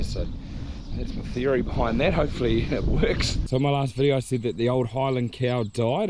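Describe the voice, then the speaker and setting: A hoarse man talks in a relaxed, rambling way, thinking aloud as he walks his paddocks. His voice sits mid-pitch. He is outdoors, and a faint background noise runs under the camera audio. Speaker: farmer vlogger. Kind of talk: casual chat to camera.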